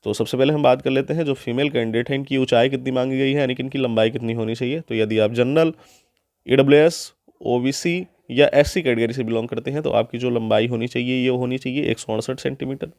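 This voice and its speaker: A man gives an instructional explainer in a mid-pitched voice. He addresses viewers directly and repeats key details in other words. The sound is dry, with little room on it. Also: steady tone, fast, vocal fry, darkish tone, no echo. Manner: repeats and restates key points, often in Hindi and English. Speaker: presenter of an exam guidance channel.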